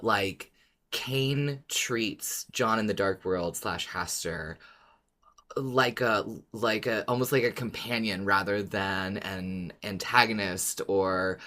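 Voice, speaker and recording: Podcast co-host with a bright, forward voice at medium pitch, informal and emphatic, casual fan chat.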